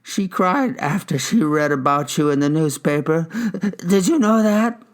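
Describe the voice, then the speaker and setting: A man's voice: a little high, expressive, a little slow, pitched above the performer's own voice. A man voicing a frail, grieving old widower in an audiobook story, with a thin, quivering voice and an accusing, indignant manner. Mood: angry, accusing.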